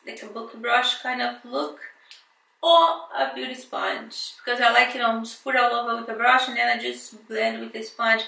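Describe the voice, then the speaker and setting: A woman's voice: a little high, creaky, bright, forceful tone, roomy sound, recorded from a distance, poor audio. A woman from Brazil with a thick Brazilian Portuguese accent, chatting casually and hesitantly as she unboxes and reviews beauty products, with many fillers and restarts. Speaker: beauty vlogger.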